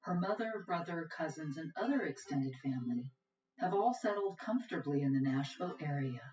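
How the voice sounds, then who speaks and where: A woman reading scripted documentary narration in a measured, even way. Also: deep voice. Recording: roomy, distant, dull audio with music.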